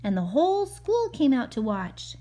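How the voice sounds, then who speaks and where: A woman's voice: high-pitched, very animated, normal speed, mellow. A woman reading a picture book aloud to a child as a mother, expressively, with chatty asides and questions.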